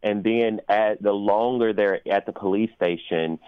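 A man speaking as an interview guest, explaining things in a measured, thoughtful and articulate way. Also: fairly low pitch, smooth, no echo, phone-quality sound. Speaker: opera performer and guest.

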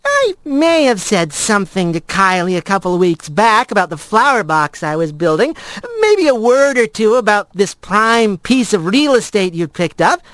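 A man's voice: sits high, very animated, moderate pace, fairly bright tone, no echo, voice pushed up. A man voicing a brash, teasing young female woodland animal with a wry, casual manner in a storybook. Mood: coaxing. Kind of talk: animal fantasy audiobook character.

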